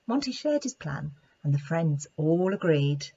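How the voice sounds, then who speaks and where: A woman's voice: deep voice, sing-song, unhurried, dark tone, slightly rough. A woman narrating a children's story expressively, in a slightly dull recording. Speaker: storybook reader, play therapist.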